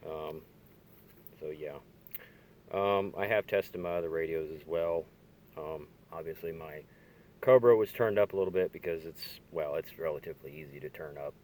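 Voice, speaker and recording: Male hobbyist demonstrator, low-pitched voice, tentative and halting, informal show-and-tell delivery, handheld camera in a car. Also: darkish tone, slight background noise. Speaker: hobbyist demonstrating radio gear. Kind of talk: casual hands-on demonstration. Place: inside a parked car. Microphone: handheld camera or phone.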